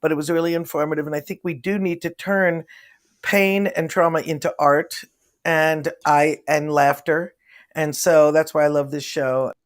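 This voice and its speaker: A guest speaking earnestly and from the heart on a casual talk show. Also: male voice, a little high, steady tone, moderate pace. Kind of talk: casual video-call talk.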